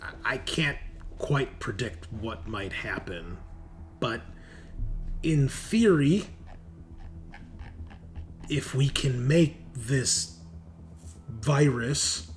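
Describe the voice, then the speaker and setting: A man's voice: mid-range voice, lively intonation, faint music behind. A man voicing a nervous, absent-minded scientist doctor in a tabletop game, hesitant and stammering. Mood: hesitant, worried. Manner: halting, stammering, hedging.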